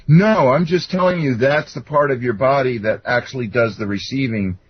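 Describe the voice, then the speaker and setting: A middle-aged or older man with a husky voice, telling personal stories in an earnest, rambling, explanatory way as an interview guest. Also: medium pitch, normal speed, no room sound, dull audio. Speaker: interview guest, self-described contactee. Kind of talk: conversational personal storytelling.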